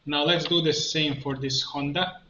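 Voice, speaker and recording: A man giving an unscripted software tutorial, haltingly narrating each step as he works and thinking aloud. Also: a little high, fairly even intonation. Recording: muffled lo-fi, roomy echo, background noise.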